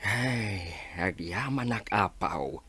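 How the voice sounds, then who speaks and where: A man playing an anxious older village father with a husky voice in a radio drama. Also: fairly low pitch, slow pace, sharp tone, no echo, heavily acted.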